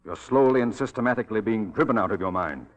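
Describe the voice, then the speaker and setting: An actor playing an earnest, insistent detective in 1940s radio drama style, with a clipped, commanding, deliberate delivery. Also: male voice, on the low side, lively intonation, natural voice. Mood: urgent, revelatory. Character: earnest, insistent male detective, urgent and protective.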